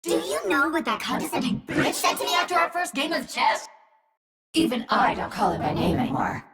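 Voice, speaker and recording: A woman's voice: sits high, big pitch swings, brisk, creaky, a bit bright, big-room sound, full character voice. A woman playing a witty, urgent, fiercely loyal female AI companion in a video game, with a wry and dramatic delivery. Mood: bitter, unhinged.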